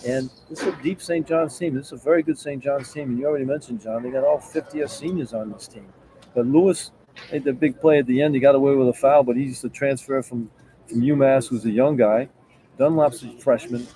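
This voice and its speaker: A man's voice: mid-range voice, fairly even intonation, a little fast, dark tone, a little hiss or hum, low-quality recording. A man giving conversational postgame analysis as a radio color analyst, plainspoken and opinionated.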